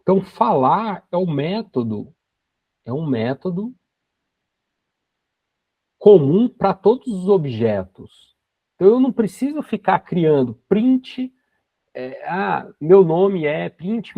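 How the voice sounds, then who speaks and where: A man in his forties walks through a coding lesson step by step, checking in with quick tag questions as he goes. His voice is gravelly and a little husky, set slightly high for a man. The recording is dry and dull, like a cheap desk mic. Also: very animated, no echo. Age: 40s. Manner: explains step by step, with frequent tag questions and restarts. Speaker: programming teacher.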